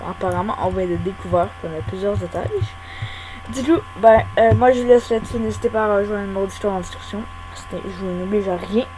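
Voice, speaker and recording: A young woman giving casual, hesitant gameplay commentary at her home computer, full of pauses and filler sounds. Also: mid-range voice, a little mellow, low-quality recording. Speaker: gaming YouTuber. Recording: hum and whirring computer fan noise.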